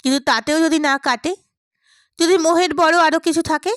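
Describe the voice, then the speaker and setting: A woman's voice: high-pitched, fairly even intonation, moderate pace, dry recording, clean studio sound, natural voice. Woman reading as a reserved, questioning young man. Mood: restrained impatience. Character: reserved, patient but quietly resistant young man.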